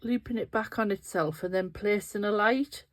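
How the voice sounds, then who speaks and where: A woman's voice: mid-range voice, moderate pace. Sniffly woman, middle-aged, casual chatty craft host talking through her work. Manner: chatty, thinking aloud while working with her hands. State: sniffly and nasal. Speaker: resin craft video host. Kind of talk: casual craft tutorial talk.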